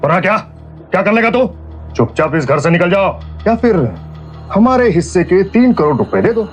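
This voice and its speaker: A man's voice: sits high, lively intonation, normal speed, soft background music. A man playing a greedy uncle in an audio drama, speaking in a sneering, threatening way. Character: greedy, threatening uncle bullying his nephew. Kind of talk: Hindi audio drama acting.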